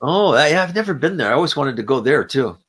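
A man's voice: fairly high pitch, very animated, quick pace, fairly bright tone, husky. A man working as a conversation teacher, chatting casually and asking questions.

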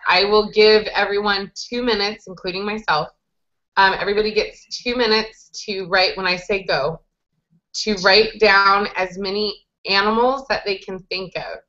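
A woman's voice: mid-range voice, bright, forceful tone. A woman in her thirties runs an online group lesson, walking learners through a word game in a friendly, patient way. Her voice sits mid-range and comes through a webcam in a small room, muffled by lo-fi call audio. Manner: friendly, patient, explains rules step by step. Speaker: English conversation teacher. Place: small indoor room with whiteboard. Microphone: webcam video call. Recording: dull lo-fi video call audio.

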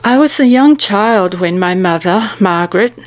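A woman's voice: medium pitch, animated, moderate pace. A woman in her seventies reading a prepared commemorative speech aloud in a formal, carefully worded manner. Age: 70s. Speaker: speaker giving a commemorative speech.

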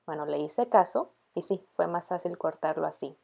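Female DIY vlogger, 30s, relaxed low voice, informal narration with English borrowings. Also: moderate pace, creaky, soft background music. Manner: casual, mixes in English words. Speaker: DIY home vlog host. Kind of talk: casual narration of a DIY makeover.

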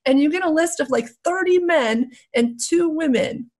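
A woman's voice: high voice, moderate pace, a little mellow. A young adult woman hosting an interview over a video call with a headset, friendly and affirming as she asks questions. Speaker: interview host.

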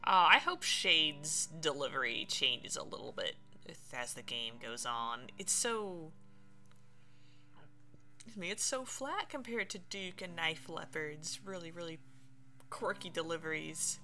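Lively, creaky-voiced woman gaming streamer. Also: low-pitched, measured pace. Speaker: let's play streamer. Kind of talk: casual game commentary. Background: game music.

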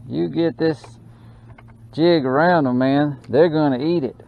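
A man giving excited running commentary while fishing from a small boat on a lake, recorded on a boat-mounted action camera. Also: on the high side, a little slow, creaky. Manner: excited, drawling exclamations and mutters to the fish. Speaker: fishing channel host. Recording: dull action-camera audio with faint noise.

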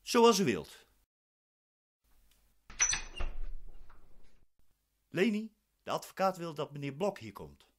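An actor playing a dissatisfied defendant in a radio play, formal, assertive and politely cutting. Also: male voice, a little high, very animated, normal speed. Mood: coolly polite.

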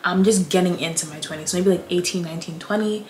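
A woman's voice: fairly low pitch, quick pace. A woman in her twenties, host of her channel, chatting casually and animatedly with her husband about how they met, in a small room at home. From Trinidad, born in Montreal. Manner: animated, talks with her hands. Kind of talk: casual storytime chat.

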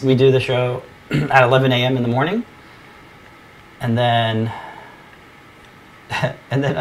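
A man co-hosting a casual live stream from a home workshop, slightly hoarse and rambling with easy laughs. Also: mid-range voice, a little hiss or hum. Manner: relaxed, rambling, laughs often. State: losing his voice. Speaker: co-host.

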